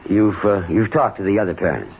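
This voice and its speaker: A man acting a gruff, quick-tempered middle-aged working-class father in a 1970s radio drama, blunt and colloquial. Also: lowish voice, expressive, exaggerated voice. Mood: suspicious, confrontational. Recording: dull, noisy 1970s radio recording.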